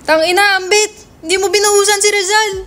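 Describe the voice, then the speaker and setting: A woman's voice: extremely high pitch, fairly even intonation, fairly quick, noisy background. A woman playing a cynical, exasperated male office partner in a dramatic reading, blunt and informal.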